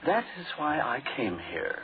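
Polite hired killer, tinny old radio. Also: male voice, medium pitch, huge pitch swings, unhurried. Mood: coolly defiant. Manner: understated, formal, smugly courteous. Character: cool, polite, double-crossing hired killer. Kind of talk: 1940s radio drama acting. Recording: tinny, noisy old 1940s radio recording.

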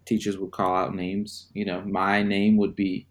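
A man from Zimbabwe, a podcast guest, telling his personal story in a measured, reflective way. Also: deep voice, completely flat delivery.

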